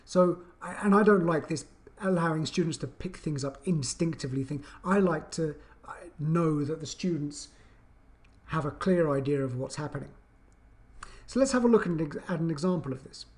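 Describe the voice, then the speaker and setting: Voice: medium pitch, moderate pace, darkish tone. An English teacher giving an explanatory video lesson with an emphatic, questioning, teacherly delivery.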